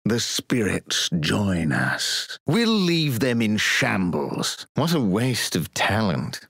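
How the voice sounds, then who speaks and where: A man's voice: on the low side, wildly swooping pitch, slow, creaky, a bit bright, slightly rough, no room sound, studio quality, exaggerated voice. A man voicing a pompous, scornful sorcerer-scholar obsessed with death in a video game, with a haughty, theatrical, condescending delivery. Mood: brooding, mystical, then smug, scornful. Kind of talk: video game character voice lines.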